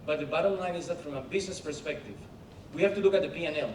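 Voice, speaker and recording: A man's voice: fairly high pitch, very fast, darkish tone, a little hiss or hum. A man on a conference panel making a blunt, matter-of-fact point. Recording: echoey hall, distant mic.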